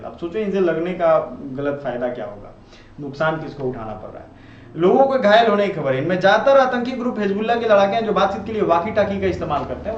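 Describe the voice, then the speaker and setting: An Indian man teaching current affairs informally, explaining in a conversational way and often checking that listeners follow. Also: high voice. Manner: conversational, checks understanding with rhetorical tags. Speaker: online teacher. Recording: muffled, roomy, distant mic, faint noise.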